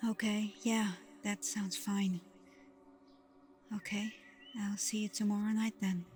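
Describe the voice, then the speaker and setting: A woman acting a protective, scolding but tender vampire girlfriend in an intimate ASMR roleplay, hushed and often slipping into breathy whispers. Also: medium pitch, level tone, slow, soft background music, close to an everyday voice. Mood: reluctant, softening.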